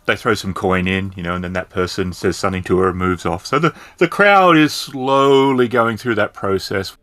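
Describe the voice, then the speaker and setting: Guy running a tabletop rpg, describing scenes off the cuff, lowish voice, very dry close sound. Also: normal speed, fairly bright tone, no room sound. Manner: descriptive, explanatory. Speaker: game master, storyteller. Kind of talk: improvised tabletop game narration.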